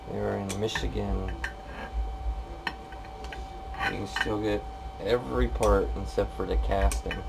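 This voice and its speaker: A man's voice: lowish voice, creaky. An American man giving a casual, plain-spoken, hands-on walkthrough of a repair in a workshop. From California, USA. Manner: plain-spoken, matter-of-fact. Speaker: machinist demonstrating a repair. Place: machine shop, indoors. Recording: noisy amateur workshop recording.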